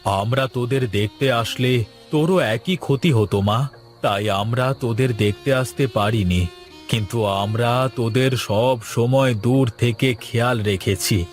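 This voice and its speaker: A man playing a wealthy father and master of the house in an animated moral story, earnest and commanding. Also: medium pitch, measured pace, soft background music, close to an everyday voice. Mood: earnest, reassuring.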